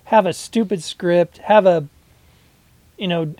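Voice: mid-range voice, takes it slowly, mellow, dry recording. Rambling podcast co-host nearing fifty. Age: 40s. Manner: rambling, hesitant, many fillers and restarts. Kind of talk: casual chat.

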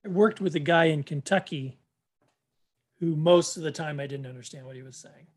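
A man co-hosting a podcast, chatting in a playful way and quick to laugh. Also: a little high, husky. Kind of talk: casual chat.

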